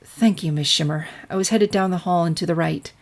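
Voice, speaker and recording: A woman's voice: on the low side, brisk, close to an everyday voice. Woman voicing a prim head-maid pony mare. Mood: polite, measured. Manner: polite, practiced authority, prim. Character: proper, cordial head-maid pony mare, elder sister. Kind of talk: fan fiction character reading.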